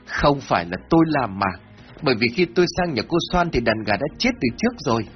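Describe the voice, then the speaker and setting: A man voicing a frightened male villager who begs and swears his innocence in a high, whimpering voice. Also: very animated, a little fast, close to an everyday voice. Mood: desperate. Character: fearful male villager, pleading and sobbing. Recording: dull lo-fi with faint music.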